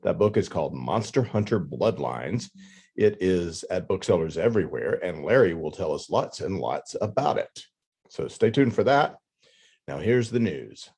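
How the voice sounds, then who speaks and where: A man's voice: deep voice, very animated, normal speed, gravelly, darkish tone, slightly rough. A man hosting a podcast, reading scripted announcements with casual, tongue-in-cheek asides. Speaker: podcast host and book editor.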